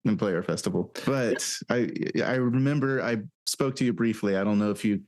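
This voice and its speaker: Low-voiced man hosting a podcast. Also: darkish tone. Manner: halting, filler-heavy speech. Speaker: podcast host. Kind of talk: casual interview chat.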